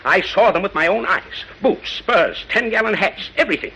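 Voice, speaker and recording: Older banker, high forceful voice, pompous, indignant, formal bluster, vintage radio drama. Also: male voice, lively intonation, moderate pace, husky, exaggerated voice, low-quality recording. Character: indignant, pompous older male bank president. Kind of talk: 1940s radio acting.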